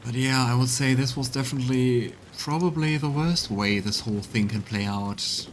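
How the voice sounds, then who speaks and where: A man's voice: medium pitch, normal speed, slight background noise. A man giving casual let's play commentary, musing and thinking aloud as he plays a fantasy video game. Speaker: streamer playing a fantasy role-playing video game. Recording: game music playing behind.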